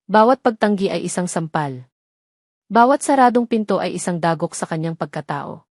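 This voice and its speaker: A woman's voice: medium pitch, darkish tone, no room sound, studio quality. A woman reading scripted dramatic story narration as the narrator.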